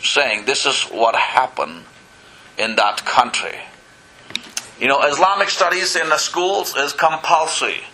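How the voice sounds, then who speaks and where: A man's voice: on the high side, a little slow, bright, forceful tone, rough recording. A man from Pakistan, preaching a sermon in church, earnest and full of "you know" and calls of "Amen?". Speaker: pastor.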